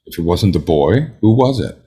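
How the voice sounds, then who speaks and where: A man's voice: sits low, sing-song, normal speed, warm tone, slightly rough, full character voice. A man playing a logical, composed and stern juror, formal and precise, in an amateur table read. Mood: reasoning.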